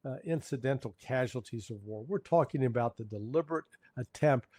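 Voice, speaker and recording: A man's voice: medium pitch, moderate pace, darkish tone, no room sound. A man with a mid-range voice speaking as an interview guest, emphatic and occasionally hesitating. The sound is dry and close, with no room around him. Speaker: interview guest, former intelligence analyst. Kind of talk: conversational interview talk.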